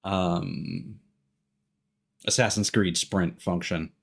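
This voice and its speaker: A man's voice: sits low, steady tone, very slow, creaky. A man streaming a video game, chatting informally, thinking aloud and reading on-screen text. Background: game music and sound effects.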